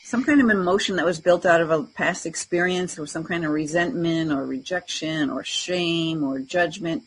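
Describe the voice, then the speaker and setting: A woman's voice: lowish voice, normal speed, breathy edge, low-quality recording. A woman co-hosting a spiritual talk show, chatting informally and reflectively, often voicing inner self-talk. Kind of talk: casual unscripted conversation about spirituality.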